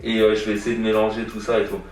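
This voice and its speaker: A man's voice: fairly low pitch, completely flat delivery, rapid-fire, a little mellow, faint music behind. A young man born in Iran and raised in France, a guest artist answering interview questions casually, hesitant, with many fillers and repeated words. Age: young adult. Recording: echoey room, distant from mic.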